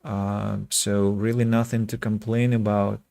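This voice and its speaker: A man from Russia with a Russian accent chats casually with viewers as a game streamer, speaking reflectively and pausing mid-sentence. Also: lowish voice, flat delivery, creaky, dark tone, dry recording, studio quality. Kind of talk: casual livestream chat with viewers.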